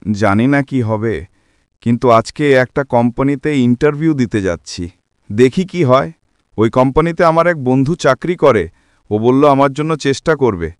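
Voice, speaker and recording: An actor playing a worried, dutiful young husband in a cartoon drama, speaking in an earnest, pleading way. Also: male voice, mid-range voice, normal speed, smooth, no room sound, clean studio sound, close to an everyday voice. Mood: worried, dejected.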